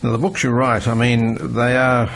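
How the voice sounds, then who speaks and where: A man's voice: medium pitch, completely flat delivery, normal speed, creaky, fairly bright tone. A man hosting a casual radio interview, chatty and affirming. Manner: chatty, affirming, laughs easily. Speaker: radio host.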